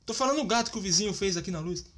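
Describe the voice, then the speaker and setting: A woman's voice: mid-range voice, lively intonation, racing, a bit bright. A woman voicing a whiny, naive young boy with a Brazilian accent in a children's cartoon. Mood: irritated, curious.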